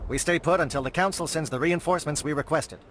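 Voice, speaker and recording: An actor playing a stern, brisk military alien captain in a video game. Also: male voice, on the high side, noisy background, natural voice. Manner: brisk, formal military delivery.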